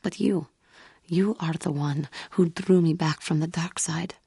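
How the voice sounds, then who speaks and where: A low, dark female voice for a feline alien warrior monk in a video game. She is solemn and proud with old wounds underneath, choosing formal words in a guarded, earnest manner. The tone is husky and close. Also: no echo, exaggerated voice. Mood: grateful, earnest. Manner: formal, measured, guarded, earnest, avoids contractions. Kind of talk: video game character dialogue.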